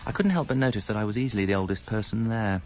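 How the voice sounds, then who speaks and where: A man's voice: on the low side, expressive, very fast, a little mellow, natural voice. An actor playing a middle-aged British married man who confesses his double life in a wry, articulate, self-mocking way, as radio comedy narration. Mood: wry, reflective. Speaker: narrator and lead character. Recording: dull lo-fi sound, faint music.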